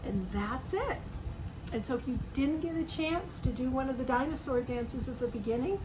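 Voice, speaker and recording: A woman's voice: high voice, moderate pace. A woman reading a picture book aloud to young children, adding chatty asides, simple explanations and questions to the listener. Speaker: storybook reader for young children. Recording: clearly noisy background.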